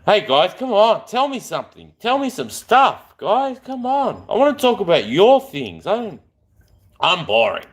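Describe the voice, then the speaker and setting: A man's voice: sits high, big pitch swings, a little slow, husky. A man, chatting in a rambling, affectionate way on a live stream. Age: 40s.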